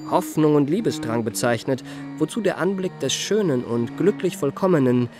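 An actor playing a refined, self-enamoured memoirist, narrating a radio play in an ornate, savouring manner. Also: male voice, on the high side, expressive, normal speed, over music, lightly acted. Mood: rapturous.

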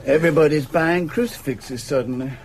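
Actor as a chatty shopkeeper, higher male voice. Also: fairly quick, background noise, lightly acted. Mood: chatty, puzzled. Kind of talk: radio drama acting.

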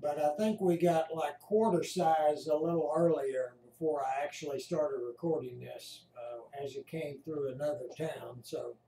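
American man, slow halting delivery, mellow tone, casual live narration, echoey room. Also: fairly high pitch, slight background noise. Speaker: amateur storm-watcher narrating a screen recording. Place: indoors at home by a computer. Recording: roomy echo.

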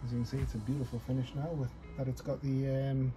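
A man's voice: mid-range voice, flat delivery, fast talker, dark tone, rough recording. Chatty man tying flies over music. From North East England. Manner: chatty, self-deprecating, thinks aloud while working. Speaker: fly tying tutor. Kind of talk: casual off-the-cuff tutorial. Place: indoor tying desk.

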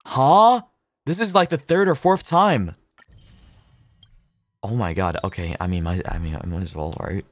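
A man livestreaming a game, chatting casually with viewers, swearing freely, repeating himself and thinking out loud. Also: low-pitched, animated, a little slow, pauses often, dry recording.

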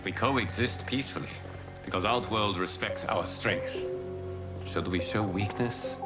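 A man's voice: lowish voice, over loud music, lightly acted. An actor playing a wise, solemn male fire god who leads his champions in a video game cutscene. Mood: grave. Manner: measured, formal, commanding. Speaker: protector and leader.

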